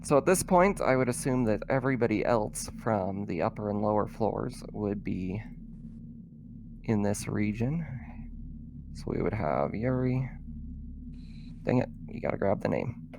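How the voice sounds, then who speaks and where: Low-voiced male game master. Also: lively intonation, vocal fry, background noise. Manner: informal, halting delivery. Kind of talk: narrating a tabletop roleplaying game.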